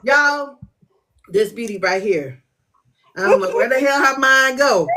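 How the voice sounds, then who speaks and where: A woman podcast host, loud and playful, hyping up her guest. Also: a little high, expressive, unhurried. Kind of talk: casual interview chat.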